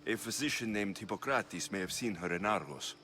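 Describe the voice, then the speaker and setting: Blunt guarded mercenary, video game, terse, dry, somewhat low, sharp edge. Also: male voice, expressive, fairly quick, soft background music. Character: wary, blunt young male Greek mercenary. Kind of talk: video game dialogue acting.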